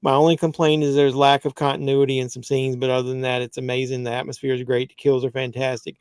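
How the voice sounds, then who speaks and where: A man in his fifties hosting a casual live movie chat, relaxed, reading viewer comments aloud. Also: medium pitch, quick pace, clean tone, dry recording, clean studio sound. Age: 50s. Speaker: YouTube movie channel host.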